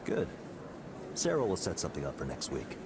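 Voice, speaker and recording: An actor playing a smug, needling tech company boss in a video game, with loud street noise behind him. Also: male voice, very deep voice, wildly swooping pitch, fairly quick, exaggerated voice. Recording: loud outdoor city traffic noise. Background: street ambience with vehicles.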